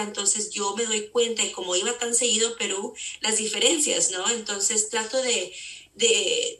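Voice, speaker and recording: A woman's voice: medium pitch, bright, forceful tone. A woman therapist, a guest on a casual interview podcast, explaining earnestly and often restarting her sentences while she searches for words. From California. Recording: echoey room, distant mic.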